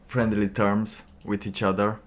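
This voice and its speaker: Male streamer, deep register, unhurried and halting, thinking aloud in loose, trailing phrases. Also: flat delivery. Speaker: livestreamer. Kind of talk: casual livestream monologue.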